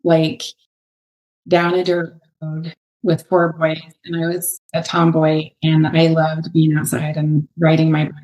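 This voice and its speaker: A woman's voice: deep voice, completely flat delivery, measured pace, darkish tone, lo-fi sound. A middle-aged woman, a fitness trainer, answering interview questions in a hesitant, casual way and laughing often.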